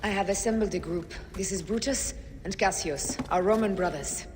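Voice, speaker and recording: An actress playing a resolute Egyptian woman who leads assassins, measured and stern in a game cutscene. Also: medium pitch, background noise, lightly acted. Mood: businesslike. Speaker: voice actor. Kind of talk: dramatic video game cutscene acting. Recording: music behind voice.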